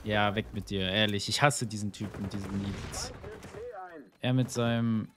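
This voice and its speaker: A young man giving casual, rambling commentary while playing a shooter, with a slightly hoarse voice from a cold, swearing often and filling gaps with ums. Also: on the low side, steady tone, measured pace, noisy background. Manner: chatty, swears often, rambling with many fillers. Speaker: gaming YouTuber. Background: game music and gunfire.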